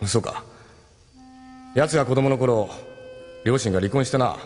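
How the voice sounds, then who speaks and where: An actor playing a protective rebel team captain in a Japanese sci-fi audio drama, with measured, gruff masculine speech. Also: male voice, mid-range voice, a little mellow, faint music behind, lightly acted. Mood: reflective, concerned.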